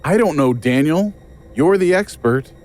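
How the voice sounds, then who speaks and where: A man acts out a world-weary occult investigator in an audio drama. He sounds bewildered and defensive, his pitch moving widely as he speaks with agitated emphasis. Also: lively intonation, measured pace, darkish tone. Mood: bewildered, distressed.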